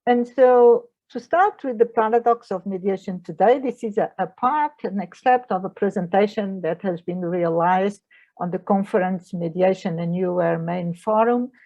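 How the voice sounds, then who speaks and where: Portuguese-accented woman with a gravelly, mellow voice. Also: medium pitch, moderate pace, highs cut off. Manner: frequent hesitations, non-native phrasing. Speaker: mediator and trainer giving a lecture. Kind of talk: online lecture presentation.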